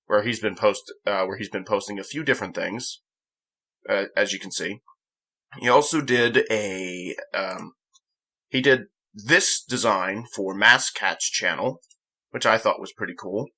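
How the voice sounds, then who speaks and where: A young man hosting a gaming channel, talking off the cuff in a rambling, halting way, often correcting himself. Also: medium pitch, sharp tone. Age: young adult. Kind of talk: casual unscripted vlog commentary.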